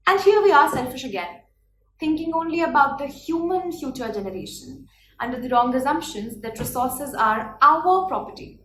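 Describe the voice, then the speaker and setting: An earnest young woman with an Indian accent delivers a prepared speech, declaiming her points and posing rhetorical questions. Her voice sits a little high. The room is echoey, with faint noise behind her. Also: lively intonation, normal speed, fairly bright tone. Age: 20s. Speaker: speaker.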